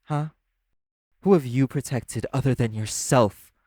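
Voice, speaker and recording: A man's voice: medium pitch, measured pace, darkish tone, slightly rough, no room sound. A man acting in an audio roleplay as a cynical, world-weary socialite who runs a club. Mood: scornful, confrontational. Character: apathetic, cynical socialite club manager and parent.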